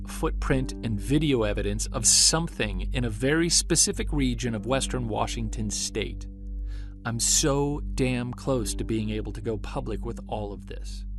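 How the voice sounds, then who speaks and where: An actor playing a driven, defensive Bigfoot researcher, delivering a deliberate, emphatic scripted monologue in the manner of a documentary. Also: male voice, mid-range voice. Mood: weary, confessional. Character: driven, defensive male Bigfoot researcher recording his case. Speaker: narrator of a found-tape audio drama.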